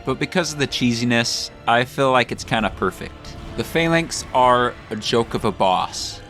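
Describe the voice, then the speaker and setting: A man's voice: mid-range voice, normal speed, a bit bright, faint music behind. A man hosting a scripted comedic video essay, speaking in a mock-grandiose, tongue-in-cheek way.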